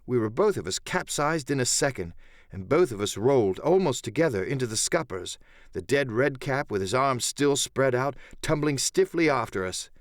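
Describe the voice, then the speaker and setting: A man's voice: mid-range voice, expressive, normal speed, no echo, studio quality. A man narrating an adventure audiobook in the first person, with theatrical, literary storytelling.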